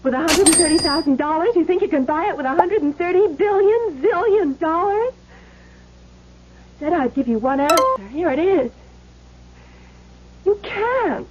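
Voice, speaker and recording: A woman's voice: extremely high pitch, expressive, normal speed, pauses often, noisy background. An actress playing a decent, spirited young married woman in an old-time radio drama, earnest, emotional and emphatic. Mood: firm, defiant. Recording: dull lo-fi old radio recording.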